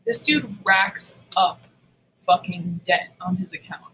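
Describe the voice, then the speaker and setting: A woman's voice: lowish voice, expressive, slow, pushed and bright, slight background noise, low-quality recording. A woman co-hosting a podcast, telling stories in casual, blunt, emphatic chat with plenty of swearing. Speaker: podcast co-host. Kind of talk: casual, profane storytelling chat.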